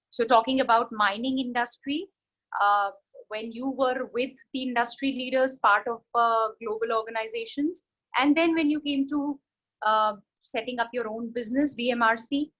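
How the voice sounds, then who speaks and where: A woman hosts the interview in a fairly high voice. She asks long, winding questions, rephrasing them as she goes. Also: fairly bright tone, low-quality recording. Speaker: interview host, happiness coach.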